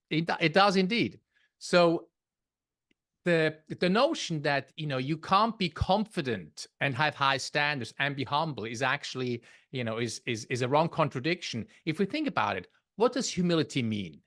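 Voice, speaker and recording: Lively Swiss-accented man. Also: on the high side, moderate pace. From Switzerland. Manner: thoughtful, restarts phrases, animated. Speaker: podcast guest, leadership author. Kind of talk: conversational interview.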